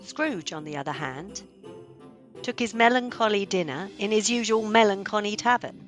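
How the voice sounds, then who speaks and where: A woman's voice: mid-range voice, animated, normal speed, lightly acted. A woman acting as the narrator of a radio play, reading scripted storybook narration. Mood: narrating. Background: music.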